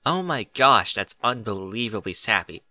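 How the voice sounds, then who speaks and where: A man's voice: lowish voice, animated, normal speed, pushed and bright, no echo, clean studio sound, recorded from a distance, heavily acted. A man voicing a brash young female flying pony in a storybook, exclamatory and secretly sentimental. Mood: mock disgust.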